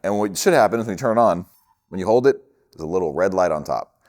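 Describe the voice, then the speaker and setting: Low-voiced man giving a casual crash course. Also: expressive, quick pace, darkish tone. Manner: informal, conversational, explaining step by step. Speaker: instructor for a film gear rental company.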